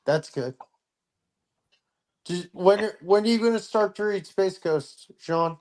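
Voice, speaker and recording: A man's voice: high voice, measured pace, darkish tone. A man in his thirties hosting a casual livestream chat, jokey and steering the conversation. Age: 30s. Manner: jokey, steers the talk along. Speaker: livestream host.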